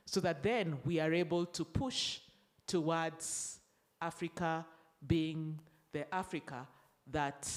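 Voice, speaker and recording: A moderator summing up a conference panel in a measured way, repeating phrases. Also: mid-range voice.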